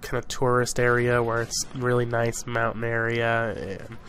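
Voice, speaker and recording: A man's voice: mid-range voice, completely flat delivery, normal speed, a bit bright, slight background noise. A young man from southern Idaho, congested from a cold, chatting casually over gameplay footage as a gaming YouTuber, rambling with lots of fillers.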